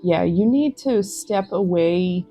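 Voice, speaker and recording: A woman reads tarot cards for her viewers in an unhurried, mellow voice that sits a little low. She sounds gentle and encouraging, pausing to reflect between thoughts. Faint music plays behind her. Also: animated. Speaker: tarot reader. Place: outdoors in a forest.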